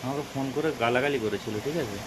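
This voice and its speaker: A man with a Bengali accent making a prank phone call in casual, insistent, questioning speech. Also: very fast. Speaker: prank caller hosting own channel. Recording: very noisy, loud hiss and traffic rumble.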